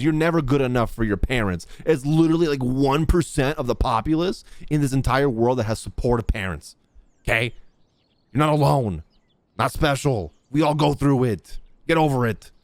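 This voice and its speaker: Animated male game streamer, swinging pitch. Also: moderate pace, dry recording. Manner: expressive, blunt, slangy, talks back to game characters. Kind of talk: casual gameplay commentary.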